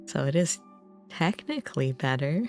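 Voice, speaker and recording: A young adult woman chatting casually on a livestream, musing informally in short, halting phrases. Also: low voice, big pitch swings, a little mellow. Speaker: game streamer. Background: background music.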